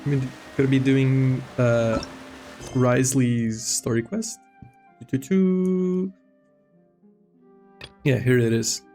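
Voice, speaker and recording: A man's voice: mid-range voice, takes it slowly, long pauses, dark tone, soft background music. A man chatting casually as a game stream host, rambling and hesitant, prone to giggling. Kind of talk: casual livestream chat.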